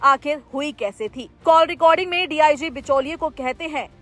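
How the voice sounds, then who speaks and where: A woman's voice: high-pitched, normal speed, a bit bright. A woman news presenter delivering a scripted news report as narration. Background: background music.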